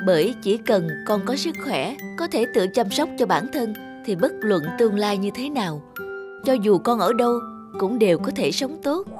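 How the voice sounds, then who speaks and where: Woman playing a gentle, loving cartoon mother. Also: mid-range voice, lively intonation, normal speed, close to an everyday voice. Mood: tender, earnest. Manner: gentle, earnest advising. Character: loving, instructive mother of a young girl. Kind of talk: cartoon voice acting. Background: music.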